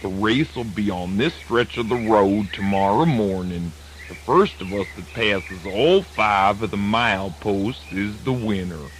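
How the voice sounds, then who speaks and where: A man playing a dignified, sly old terrapin in a children's radio folk tale, with a Southern American accent and a deliberate, courteous, folksy manner. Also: on the low side, close to an everyday voice. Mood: measured, challenging. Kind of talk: children's radio drama acting. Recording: noisy old radio drama recording.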